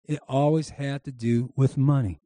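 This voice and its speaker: A man's voice: medium pitch, steady tone, moderate pace, dark tone, no room sound. A male pastor delivering a sermon to a church congregation, preaching emphatically and calling on them to answer back. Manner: emphatic preaching with audience call-and-response. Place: church service before a congregation.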